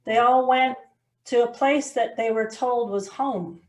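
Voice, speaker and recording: A woman's voice: a little high, a little slow, a little mellow, low-quality recording. A middle-aged woman, a podcast guest talking earnestly and from the heart, often restarting her sentences. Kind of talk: casual interview conversation.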